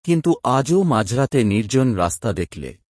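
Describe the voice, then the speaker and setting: Hushed man narrating a ghost story. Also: mid-range voice, sing-song, moderate pace, no echo, studio quality. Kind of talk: first-person horror narration. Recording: spooky music bed under narration.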